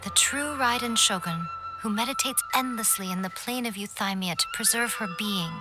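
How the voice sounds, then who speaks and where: A woman plays a sly, knowing shrine priestess in a game cutscene, teasing and unhurried in her speech. Her voice sits at a middle pitch, with music clearly audible underneath. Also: natural voice. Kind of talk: video game cutscene dialogue.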